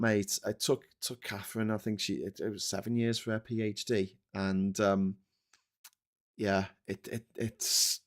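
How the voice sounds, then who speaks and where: Man, Scouse accent, forties, casual livestream host, frank, swears a lot, lowish voice. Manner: chatty, swears freely, frank and animated. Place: home room.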